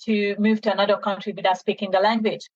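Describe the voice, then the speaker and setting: A woman's voice: medium pitch, little pitch movement, fast talker, no echo. A middle-aged woman from Hungary, a native Hungarian speaker with a Hungarian accent, answering informally in a conversational interview and explaining from her own life. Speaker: podcast guest, small business owner. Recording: dull, band-limited audio.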